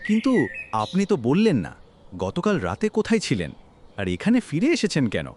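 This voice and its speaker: A man voicing a nosy, knowing village shopkeeper in an animated horror story. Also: on the high side, moderate pace. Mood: nosy, teasing.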